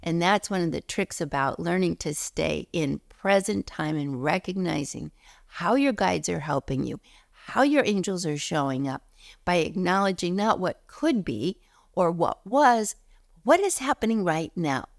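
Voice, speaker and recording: A middle-aged woman, a spiritual teacher, speaking in an earnest teaching talk, a little hoarse while recovering from illness, slowing down to stress words. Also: lowish voice, expressive, no room sound, clean studio sound. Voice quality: froggy, gravelly.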